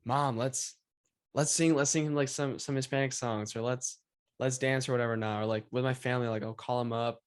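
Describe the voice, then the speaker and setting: A young man from Venezuela hosts in a relaxed, easygoing tone with a medium-pitched voice. He fills his sentences with "like" and small restarts, chatting casually. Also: fairly quick, smooth. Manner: relaxed, filler-heavy with lots of "like". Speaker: host. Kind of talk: casual interview chat.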